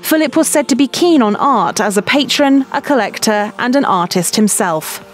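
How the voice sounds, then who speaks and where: A woman reading a scripted documentary narration in a brisk, even storytelling manner. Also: on the high side. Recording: voice-over with soft background music.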